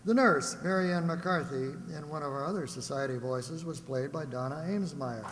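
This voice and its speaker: A man's voice: on the high side, normal speed. A man announcing live on stage for a radio-play group, folksy and conversational, with rambling asides in an echoey, noisy hall. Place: reverberant hall before a live audience.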